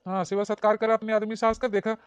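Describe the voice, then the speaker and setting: A fatherly village holy man from a cartoon comedy , acted out by a woman, with an Awadhi accent. He instructs insistently and repeats himself at a brisk clip. The sound is dry and a bit dull. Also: medium pitch, flat delivery, smooth, no room sound, lightly acted. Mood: instructive, fatherly.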